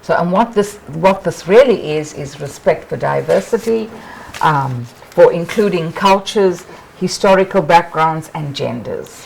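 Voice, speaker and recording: Woman lecturer, low voice with some fry, talks in short chunks for the interpreter. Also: animated, darkish tone, slight background noise, rough recording. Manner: measured, pausing for the interpreter. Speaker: guest lecturer.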